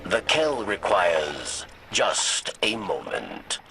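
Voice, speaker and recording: An actor playing an old, weary, sly insect-like alien scribe in a video game, rasping and halting, with questioning tags. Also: male voice, low-pitched, big pitch swings, bright, forceful tone, soft background music, heavily acted. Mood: formal urging. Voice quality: gravelly, rasping. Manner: halting, third-person speech, questioning "yes?" tags. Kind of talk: dramatic video game acting.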